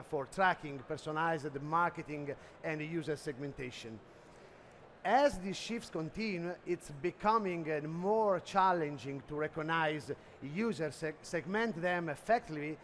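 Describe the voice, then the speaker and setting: A middle-aged man with an Italian accent, giving a business presentation at a trade expo and often pausing on "uh". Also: fairly high pitch, slight background noise. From Italy. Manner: hesitant, many "uh" fillers. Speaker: conference speaker. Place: expo hall stage.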